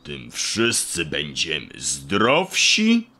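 Wise old talking tree played by a man: low, forceful, knowing, for a children's audio play. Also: huge pitch swings, heavily acted. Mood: serious. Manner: slow, measured, all-knowing, pompous.

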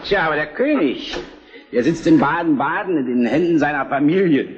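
An actor playing a worried political confidant in a historical radio drama, dry and cautioning in conversation. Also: male voice, fairly high pitch, animated, fairly quick, natural voice. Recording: muffled, with crowd murmur behind.

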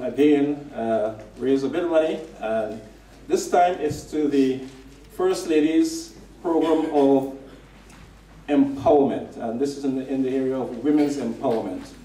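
A middle-aged man giving a measured, formal speech at a stand microphone in a community hall, with some restarts. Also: mid-range voice, mellow. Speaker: association representative presenting a donation. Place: decorated community hall, indoors. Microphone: stand mic. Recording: echoey hall, picked up from a distance.

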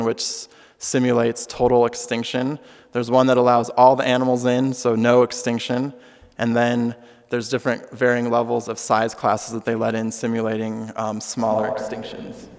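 A young man giving a keynote talk with slides at a podium in a large auditorium, relaxed and earnest with frequent ums. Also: mid-range voice. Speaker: keynote speaker. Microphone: podium microphone. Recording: long hall reverb.

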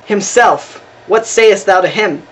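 A woman's voice: mid-range voice, takes it slowly, close to an everyday voice. A woman playing a proud, defiant young male noble in amateur Shakespeare, formal and declamatory, recorded from a distance with noise. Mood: challenging. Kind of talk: amateur Shakespearean stage acting.

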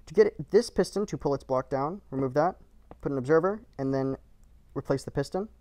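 A man's voice: fairly high pitch, a little fast, darkish tone, dry recording. A man narrating a game build tutorial in short, clipped, matter-of-fact instructions, recorded as a voice-over.

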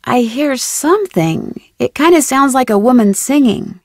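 A woman's voice: sits high, animated, a little slow, no room sound, studio quality. A woman playing a curious, polite young detective in a video game.

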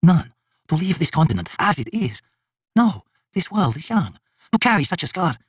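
A man's voice: fairly high pitch, big pitch swings, slightly rough, dry recording, clean studio sound, voice pushed up. A man as a grave, anguished elder god. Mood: grim resolve. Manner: slow, portentous, intense.

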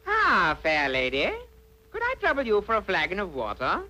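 A man's voice: sits high, huge pitch swings, normal speed, sharp tone, full character voice. A gallant young prince from a cartoon. His voice swoops wildly up and down. He speaks in a flowery, pompous, gushing way. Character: handsome young prince, gallant, foppish and smitten. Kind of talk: cartoon voice acting.

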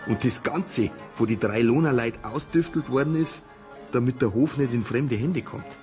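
An actor playing a dogged, suspicious police detective in a radio crime drama, speaking colloquially and insistently with a Bavarian accent. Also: male voice, medium pitch, moderate pace, darkish tone, lightly acted. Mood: speculating. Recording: music behind the voice.

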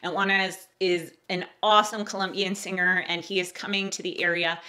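A woman in her forties talks casually into a phone held at arm's length, with a bright, forward tone. She is chatty and animated, often laughing at herself. She is recording outdoors in a sunny park. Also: lowish voice, moderate pace, no echo. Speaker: family budget vlogger. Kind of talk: casual vlog talk to camera.

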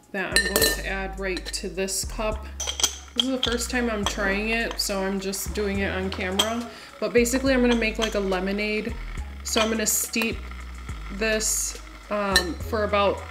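A woman vlogger talking through her cooking in a home kitchen, relaxed and thinking aloud with fillers. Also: mid-range voice. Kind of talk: casual cooking narration. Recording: music under the voice. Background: background music.

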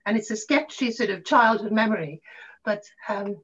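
A middle-aged woman reminiscing casually in an interview, telling stories in a halting, animated way. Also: mid-range voice, husky. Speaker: interview guest, actor. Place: room at home, on a video call. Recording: muffled video call audio.

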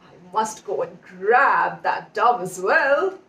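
A woman's voice: medium pitch, animated, slow pace, pushed and bright, pitched below the performer's own voice, exaggerated voice. A woman voicing a greedy, scheming male fox who savours his words gleefully in a children's puppet story. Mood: gloating, delighted. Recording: echoey room, distant mic.

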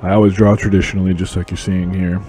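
Low, very mellow man's voice. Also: level tone, fast. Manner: informal, conversational. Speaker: illustrator and channel host. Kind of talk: casual voice-over commentary on a drawing process. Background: music.

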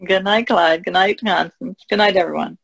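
A woman's voice: fairly low pitch, steady tone, moderate pace, vocal fry. A woman in casual conversation as a co-host on an art podcast. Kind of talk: casual chat.

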